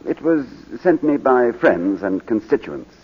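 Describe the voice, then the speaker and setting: An actor playing a middle-aged British baronet and war veteran on the witness stand, hesitant and clipped, in 1940s radio drama style. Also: male voice, medium pitch, big pitch swings, measured pace, husky. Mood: firm. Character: proud English baronet, war-wounded veteran testifying in court. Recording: muffled vintage 1940s radio broadcast.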